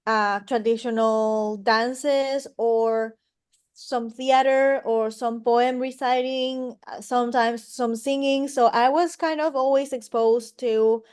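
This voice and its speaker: A woman's voice: on the high side, fairly even intonation, slow pace, darkish tone, clean tone. A woman from Peru speaking conversationally as an interview guest. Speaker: podcast guest, singer and harpist.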